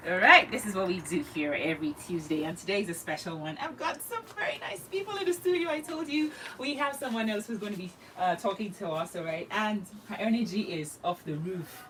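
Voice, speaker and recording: A woman's voice: medium pitch, a little fast, hardly any pauses, a bit bright, far from the mic, slight background noise, rough recording. Chatty radio host woman. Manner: upbeat and chatty, checks in often with "right?". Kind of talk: morning radio talk show. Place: radio studio.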